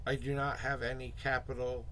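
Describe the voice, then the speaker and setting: A hoarse man hosting a casual tarot livestream, muttering asides to himself and greeting viewers in a loose, chatty way. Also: medium pitch, flat delivery, a bit bright, a little hiss or hum. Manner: upbeat, drawn-out greetings to viewers. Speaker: tarot reader and livestream host.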